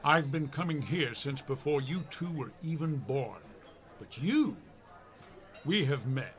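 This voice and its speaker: A man's voice: medium pitch, animated, unhurried, natural voice. A man playing an old, seasoned dwarf fighter in a comedy radio play, plain-spoken and avuncular. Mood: reminiscing.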